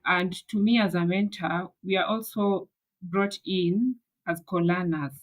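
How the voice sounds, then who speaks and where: A woman's voice: lowish voice, fairly even intonation, measured pace. A woman from Kenya, a master's student answering interview questions in an earnest, passionate way.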